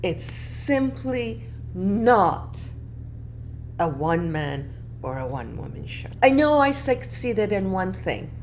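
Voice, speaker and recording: Woman host, non-native English speaker, unhurried personal monologue, faint ambient hiss behind. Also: mid-range voice, very animated. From moved to Canada as a child, not a native English speaker. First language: not English. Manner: reflective, earnest, with wide swings between emphatic and hushed phrases. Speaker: podcast host.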